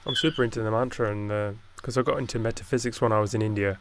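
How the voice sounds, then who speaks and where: A man interviewing in a casual conversation, deep-voiced, soft-spoken and hesitant, often trailing off mid-thought. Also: steady tone, very fast, noisy background.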